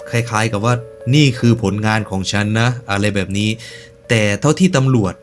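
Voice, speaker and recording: A man's voice: lowish voice, moderate pace, faint music behind. A man hosting a reaction video, commenting casually in slangy speech with joking asides and stretches of narration. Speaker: YouTube reaction host.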